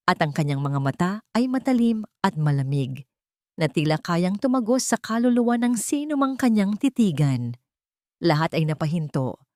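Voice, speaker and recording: A woman's voice: mid-range voice, no echo, studio quality. A woman narrator reading a dramatic story in a scripted storytelling style.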